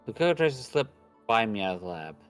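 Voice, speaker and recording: A man's voice: fairly low pitch, sing-song, fast talker, clear, resonant tone, faint music behind. A man reading a visual novel aloud on a stream and chatting casually about it, with crude, slangy joking. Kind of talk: casual playthrough chat mixed with reading aloud.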